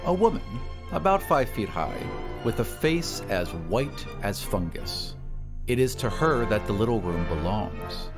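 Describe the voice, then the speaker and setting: A man narrating a scripted story dramatically, in a measured and deliberate manner. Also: lowish voice, sing-song, music in the background. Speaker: podcast host and narrator.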